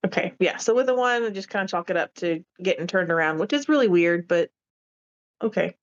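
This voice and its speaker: A woman's voice: on the low side, animated, brisk. A woman chatting casually as a player in a tabletop roleplaying game, informally thinking aloud, on slightly lo-fi audio.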